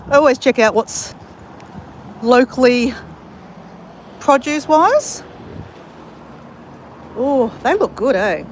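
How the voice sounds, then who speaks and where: A woman's voice: high voice, lively intonation, slow pace, long pauses, a little hiss or hum. An Australian woman chatting to her viewers while she walks around outdoors in a country town and along a creek. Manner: chatty, talks straight to viewers as "guys". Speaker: vlogger. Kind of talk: casual walking vlog.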